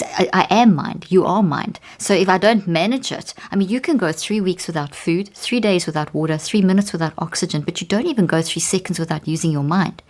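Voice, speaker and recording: A woman's voice: on the low side, darkish tone. A middle-aged woman with a South African accent, a neuroscientist telling stories in a podcast interview. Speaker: neuroscientist guest.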